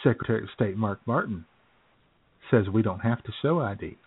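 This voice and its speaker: A man co-hosting a chat show, telling a story in a relaxed way, often chuckling and clearing his throat. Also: on the low side, fairly quick. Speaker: podcast co-host. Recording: phone-like lo-fi audio.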